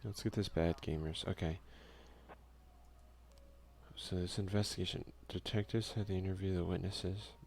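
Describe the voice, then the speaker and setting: Deep crackly guy doing an asmr lets play, quiet and hushed, reads the game text out and comments. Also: fairly even intonation, normal speed, gravelly, long pauses. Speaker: gaming video creator and player.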